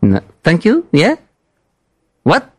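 Animated French radio host. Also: male voice, on the high side, very slow, breathy edge, dry recording. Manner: hams it up in broken English, laughing often. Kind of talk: radio banter and prank phone call.

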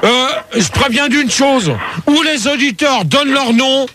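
A high-voiced man hosts a comic radio phone-in, stumbling through his sentences and losing his thread. He gets flustered when callers talk over him. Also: bright, forceful tone, faint music behind. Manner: halting, full of fillers and restarts, easily flustered. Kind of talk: hesitant comic radio hosting.

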